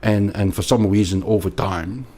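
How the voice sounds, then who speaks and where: A man with a Belgian accent explaining things as an interview guest, haltingly and emphatically, often repeating words. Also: on the low side, fairly even intonation, dark tone. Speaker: podcast guest, investment analyst.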